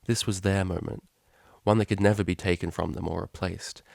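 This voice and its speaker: A man reading a scripted story as narrator, in a measured, expressive storytelling manner. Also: low-pitched, vocal fry. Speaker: fan fiction reader, narrator.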